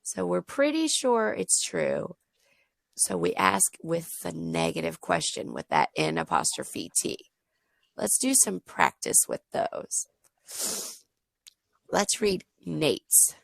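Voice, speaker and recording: A woman tutoring a child in English online, slightly hoarse and losing her voice, modeling sentences slowly and clearly. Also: fairly low pitch, wildly swooping pitch, no room sound. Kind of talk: patient teaching over a video lesson.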